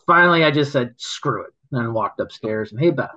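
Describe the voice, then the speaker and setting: A man's voice: mid-range voice, animated, sharp tone. Rambling man, slightly muffled recording. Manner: rambling, halting, laughs often. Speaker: guest, local business owner. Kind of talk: casual storytelling chat.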